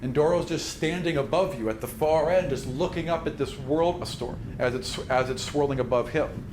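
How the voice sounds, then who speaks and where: A man's voice: fairly high pitch, normal speed. Man narrating a tabletop game, roomy sound. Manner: dramatic storytelling with spoken sound effects. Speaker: game master. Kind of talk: narrating a tabletop game, improvised scene description. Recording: roomy, with clear background noise.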